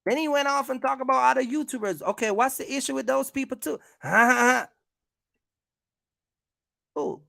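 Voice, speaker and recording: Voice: high-pitched, moderate pace, gravelly, long pauses, pushed and bright, dry recording, studio quality. A content creator delivering a casual, confrontational rant, repeating points and throwing out rhetorical questions. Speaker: YouTube content creator, trucking vlogger. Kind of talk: casual rant to camera, calling out rivals.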